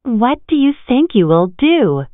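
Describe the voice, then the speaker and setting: Female phrase reader, unhurried and lively in pitch, phone-like sound. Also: no echo. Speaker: phrase reader in a language lesson. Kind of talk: repeating phrases for learners. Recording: dry, phone-like narrow band.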